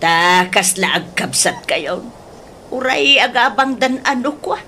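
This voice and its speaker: Slow, emotive Ilocano mother. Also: on the high side, lively intonation, bright, forceful tone, a little hiss or hum, exaggerated voice. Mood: coaxing. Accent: Ilocano Filipino. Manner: slow, drawn-out, emotive delivery. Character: ailing, pleading, persuasive middle-aged mother and aunt. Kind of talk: Ilocano radio drama acting.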